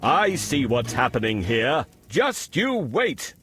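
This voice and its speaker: An actor playing a brave, helpful woodcutter rescuer in a children's cartoon story, heroic and declarative. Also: male voice, medium pitch, very animated, slow pace. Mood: resolute. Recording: loud music under the voice. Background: loud music.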